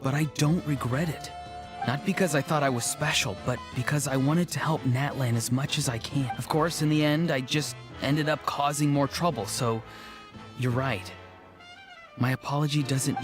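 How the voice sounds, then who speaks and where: A man's voice: a little high, moderate pace, natural voice. A man playing a young rebellious grandson in a video game, hesitant and earnest with a dry edge. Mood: earnest, contrite. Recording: music clearly playing behind the voice.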